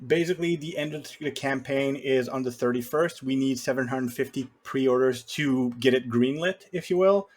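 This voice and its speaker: A man's voice: medium pitch, normal speed, few pauses, a little mellow. A man speaking as a podcast guest, explaining things in a casual conversational way.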